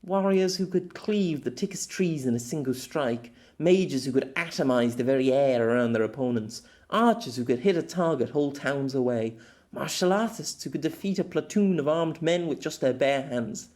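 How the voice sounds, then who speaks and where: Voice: mid-range voice, moderate pace, a little mellow. An amateur audiobook narrator reading a scripted story expressively.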